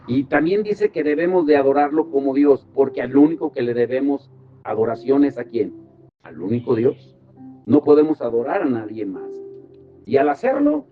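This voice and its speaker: A man teaching a devotional Bible study as a pastor. He asks the group questions and sends them to verses. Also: mid-range voice. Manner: asks rhetorical questions and directs listeners to verses. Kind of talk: teaching sermon. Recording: slightly dull with music behind.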